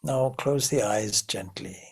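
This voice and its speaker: A man with a very husky, gravelly voice leads a guided meditation. He speaks slowly and in measured phrases, leaving long pauses as he gently instructs. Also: lowish voice. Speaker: meditation teacher.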